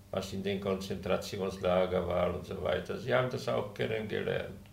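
An older man with a Czech accent, a native Czech speaker, speaking German haltingly in an interview. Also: fairly low pitch, creaky, slight background noise. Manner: halting, searching for words, frequent hesitations. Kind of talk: conversational interview. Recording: roomy room sound.

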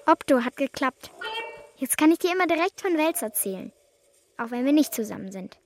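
A woman's voice: high voice, expressive, fairly quick, slight background noise. An actress playing an earnest, youthful girl who is a devoted friend, in a German children's radio drama. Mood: friendly, earnest.

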